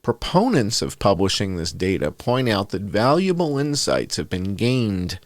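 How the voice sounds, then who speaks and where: Low-voiced man reading aloud, very dry sound. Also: no room sound. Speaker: host reading an essay aloud. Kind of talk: scripted narration, read aloud as bedtime story.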